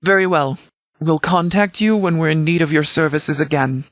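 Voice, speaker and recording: Actor as a formal, higher-pitched male military commander. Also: a bit bright, no echo, clean studio sound, close to an everyday voice. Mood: businesslike. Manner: formal, measured, authoritative diction. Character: stern, formal military commander giving orders. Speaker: video game character voice. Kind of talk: scripted game dialogue, mission briefing.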